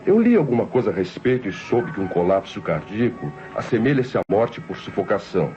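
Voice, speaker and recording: An actor playing a scheming, indebted nephew with Brazilian speech, narrating and acting theatrically in an old radio drama. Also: male voice, deep voice. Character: scheming indebted nephew, coldly plotting his aunt's murder. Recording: dull old radio recording with music behind.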